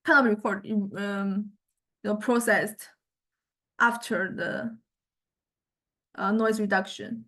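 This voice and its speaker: A woman teaching music technology in an informal software demonstration, thinking aloud and explaining tentatively in a halting way. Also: mid-range voice, steady tone. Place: recorded software lesson.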